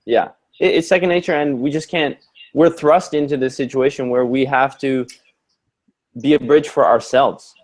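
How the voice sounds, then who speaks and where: A man's voice: medium pitch, steady tone, moderate pace. A man from Canada whose first language is German, a podcast guest musing aloud and repeating words as he gathers his thoughts. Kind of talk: conversational podcast interview.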